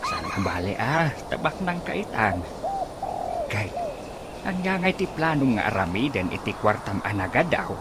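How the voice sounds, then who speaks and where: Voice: mid-range voice, very animated, moderate pace, natural voice. An actor playing a nosy, meddling relative with an Ilocano accent in a radio comedy drama. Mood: solemn, inquisitive. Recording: loud background noise, birds and insects.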